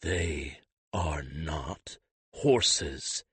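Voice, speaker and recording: A man voicing a stern older male weapons master who rasps harshly with oddly inverted phrasing. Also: sits low, sing-song, normal speed, no room sound, exaggerated voice. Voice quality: rasping, clipped inverted phrasing.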